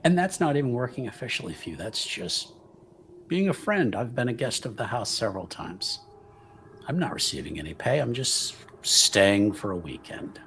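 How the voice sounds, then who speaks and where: A man voicing a smooth, calculating rogue in a tabletop game, with measured, insinuating pauses. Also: medium pitch, very animated, fairly bright tone, a little hiss or hum. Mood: conspiratorial. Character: smooth scheming male rogue, soft-spoken and calculating.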